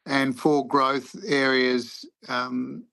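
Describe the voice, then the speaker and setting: An Australian man chairing a parliamentary hearing, speaking haltingly with many fillers. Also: mid-range voice, little pitch movement, gravelly, bright, forceful tone. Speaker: committee chair.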